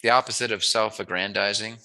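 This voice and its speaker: A man's voice: lowish voice, steady tone, fairly quick, bright, forceful tone, low-quality recording. A man hosting a casual chat interview, talking in a playful, jokey, musing way. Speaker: interviewer, host.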